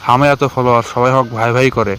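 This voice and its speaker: A man's voice: medium pitch, flat delivery, very fast, a bit bright, a little hiss or hum, natural voice. A man with a northern Bangladeshi accent playing a desperate, pleading village TikToker in a cartoon skit. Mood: pleading, regretful. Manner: rambling, complaining, pleading. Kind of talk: colloquial dialogue in a cartoon skit.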